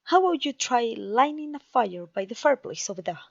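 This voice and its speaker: Woman voicing a clumsy cheerful witch, high voice, Mexican accent, theatrical and genteel, dry close recording, ASMR roleplay. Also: very animated, brisk, vocal fry, dry recording, lo-fi sound, full character voice. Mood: gentle, instructive. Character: clumsy, kindly, cheerful young witch teacher. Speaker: voice actor.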